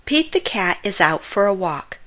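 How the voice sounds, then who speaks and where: A woman narrating a children's picture book in an expressive, deliberate storytelling manner for young listeners. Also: medium pitch. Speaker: read-aloud storyteller for children.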